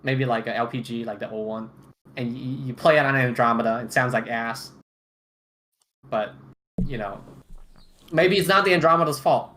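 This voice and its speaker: A man's voice: medium pitch, normal speed, long pauses, pushed and bright, a little hiss or hum. A man on a podcast panel chatting casually and opinionatedly, often repeating words as he goes. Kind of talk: casual roundtable chat.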